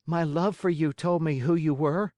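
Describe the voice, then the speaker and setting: A man voicing a whiny, eager marionette boy in a storybook, with a high childlike voice and pleading, dramatic delivery. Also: normal speed, darkish tone, no room sound, close to an everyday voice. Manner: pleading, emphatic, exclaiming. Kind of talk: audiobook character acting.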